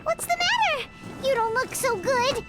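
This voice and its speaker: Video game fairy sidekick, childlike and squeaky, excitable, lively swoops, echoey and distant. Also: female voice, a little slow. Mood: worried, puzzled. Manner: dramatic, exclamatory. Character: small excitable childlike floating fairy companion, female. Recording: distant, echoey, loud music over it.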